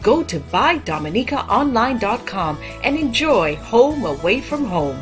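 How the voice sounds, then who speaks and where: A woman's voice: on the high side, sing-song, measured pace. Lady doing a radio ad, very up and down intonation, music under it. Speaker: advertisement announcer. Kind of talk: scripted commercial narration.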